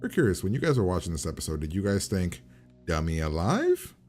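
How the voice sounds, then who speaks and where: Low-voiced man, blunt and sweary. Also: huge pitch swings, fairly quick, darkish tone, faint music behind. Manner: blunt, slangy, swears constantly. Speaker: host reacting to a TV episode. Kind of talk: casual profane reaction commentary.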